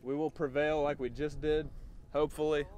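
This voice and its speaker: A man guiding anglers on a flats boat, coaching them with urgent, excited calls. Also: fairly high pitch, moderate pace. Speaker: fishing guide and host. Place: open flats boat outdoors. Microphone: action camera on the boat. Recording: loud wind and boat noise.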